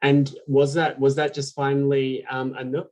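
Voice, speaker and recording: A man's voice: mid-range voice, completely flat delivery, normal speed, a little mellow. A man, a PhD student, introducing himself casually on a video call. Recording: muffled video call audio.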